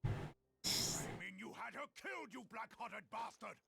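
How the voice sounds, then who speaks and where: Very gravelly vengeful warrior general. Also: male voice, sits high, lively intonation, moderate pace, bright, forceful tone, no room sound, heavily acted. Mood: enraged. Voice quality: gravelly, harsh. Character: gruff, enraged, vengeful male general, battle-hardened warrior. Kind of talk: theatrical game cutscene acting.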